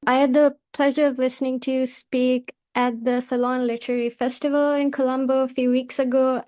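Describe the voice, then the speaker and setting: A woman with a high voice hosts a podcast. Her delivery is measured and formal, with little rise or fall, as if reading from a prepared script. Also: a little mellow. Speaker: podcast host and editor. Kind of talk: scripted podcast introduction and questions.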